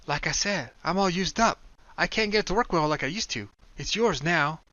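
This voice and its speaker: A frightened, exhausted young woman voiced by a male narrator in a raised voice pleads in a weary way. Her tone is bright and her pitch rises and falls. The audiobook recording sounds dull and lo-fi. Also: expressive, a little fast, no room sound. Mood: pleading.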